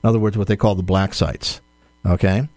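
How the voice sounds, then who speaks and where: A man being interviewed as an author, explaining his points in a measured way and checking in with the listener. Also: sits low, fairly even intonation, a little mellow, no room sound. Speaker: guest author. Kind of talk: interview answers.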